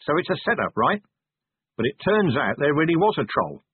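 A man voicing a weary, gruff middle-aged police commander with a sardonic edge. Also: fairly high pitch, very animated, moderate pace, no room sound, narrow, tinny audio, pitched above the performer's own voice, exaggerated voice.

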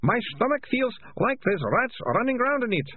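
A man's voice: high voice, very animated, unhurried, husky, dry recording, phone-quality sound, voice pushed up, full character voice. A man voicing a panicky, cowardly rat catcher who stammers and whines, in a storybook reading. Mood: desperate, moaning. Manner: stammering, whining, moaning.

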